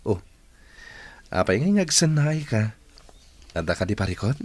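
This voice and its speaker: An actor playing a caring, attentive young boyfriend in Ilocano radio drama, with an Ilocano Filipino accent and a reassuring, inquiring manner. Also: male voice, on the low side, very animated, measured pace, a little mellow.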